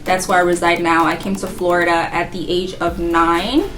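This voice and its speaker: A woman's voice: fairly low pitch, level tone, normal speed, a bit bright, soft background music. A woman in her twenties from Haiti, chatting casually and rambling about herself, laughing often. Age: 20s. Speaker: vlogger. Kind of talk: casual get-to-know-me chat.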